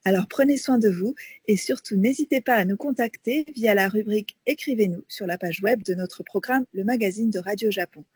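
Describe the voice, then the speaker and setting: Husky-voiced female radio co-host. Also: medium pitch, fairly quick, a little mellow, no echo. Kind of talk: presenting and interviewing on a radio magazine.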